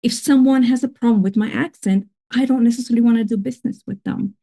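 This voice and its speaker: A woman's voice: on the high side, steady tone, fast talker, vocal fry, warm tone. A woman with a foreign accent, a podcast guest, telling her own story in an earnest, reflective way. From grew up in another country. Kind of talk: casual interview talk.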